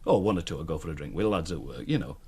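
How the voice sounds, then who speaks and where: A man's voice: deep voice, animated, very fast, darkish tone, slightly rough, heavily acted. An actor playing a middle-aged working-class man with a Northern English accent, colloquial and coaxing, in naturalistic radio drama dialogue. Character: Roy, working-class man coaxing a young punter into business.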